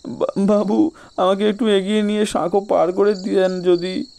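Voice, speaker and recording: A man's voice: sits high, flat delivery, normal speed, gravelly, warm tone, pitched above the performer's own voice. A man voicing a frightened, gullible village carrier in an audio story, stammering and pleading in a tearful way.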